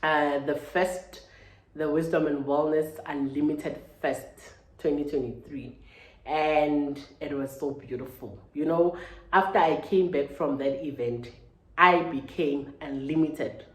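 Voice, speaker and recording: A woman's voice: low-pitched, steady tone, takes it slowly. Woman in her 30s, chatty motivational vlog, keeps saying right? and you know, echoey room. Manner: earnest, repeats "right?" and "you know" often. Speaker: vlogger. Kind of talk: casual motivational talk to camera. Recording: roomy echo.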